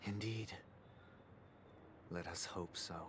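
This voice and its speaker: An actor playing a solemn, refined and pious young leader in a video game, speaking formally and gravely. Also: male voice, low voice, fairly even intonation, slow pace, noisy background. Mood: composed, weary.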